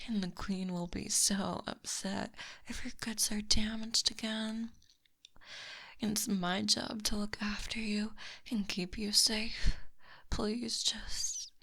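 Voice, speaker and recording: A woman playing a possessive, teasing lamia monster girl in a soft, breathy ASMR roleplay, close on a binaural microphone. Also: medium pitch, full character voice. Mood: teasing menace. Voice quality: breathy, whispery. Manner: soft, teasing, slow and deliberate.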